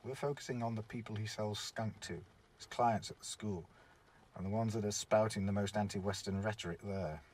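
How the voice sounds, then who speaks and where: A British man playing a seasoned surveillance officer in a radio drama, wry, measured and world-weary. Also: on the low side, fairly even intonation, a little mellow, natural voice. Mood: explanatory.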